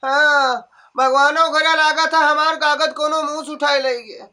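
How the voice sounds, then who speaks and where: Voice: high voice, flat delivery, a little slow, sharp tone. A performer doing a wailing old mother-in-law. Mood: grumbling. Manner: wailing, moaning, nagging. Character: groaning old mother-in-law, cranky and complaining.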